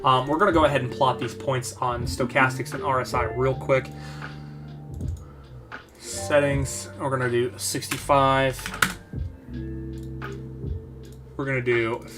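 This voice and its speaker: A man hosting a market analysis channel, slightly hoarse, talking bluntly and emphatically with lots of fillers and repeated phrases. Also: mid-range voice, flat delivery, unhurried, long pauses, over music. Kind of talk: casual chart analysis talk.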